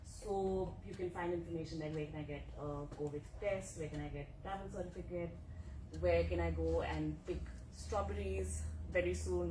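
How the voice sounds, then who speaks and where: A woman giving a casual, chatty presentation at a welcome event, with fillers and asides. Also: fairly low pitch. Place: office meeting room. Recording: echoey room, mic far off, background noise.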